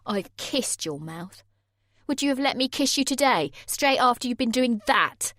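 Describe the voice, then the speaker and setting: A woman's voice: a little high, lively intonation, a little slow, dry recording, clean studio sound, voice pushed up. A woman doing a harsh, furious young man. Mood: cold. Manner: loud, harsh, accusing.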